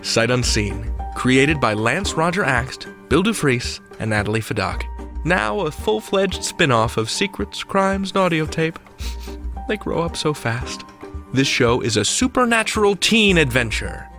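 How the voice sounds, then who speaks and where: Animated American man hosting a podcast. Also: on the high side, unhurried, soft background music. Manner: playful, slangy, theatrical. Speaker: podcast host. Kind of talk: lively audio drama countdown show hosting.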